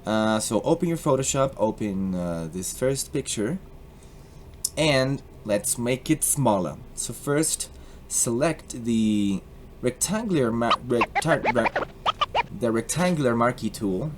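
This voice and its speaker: A young man with a Colombian accent casually explaining a software tutorial, joking and slipping into put-on accents. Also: mid-range voice, animated, slow pace, slight background noise. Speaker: tutorial host.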